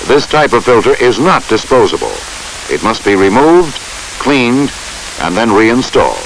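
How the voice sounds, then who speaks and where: A man narrates a training film in a formal, measured, instructional style. His pitch is medium and moves in a lively way. The soundtrack is old, with a faint hiss behind the voice. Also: rough recording.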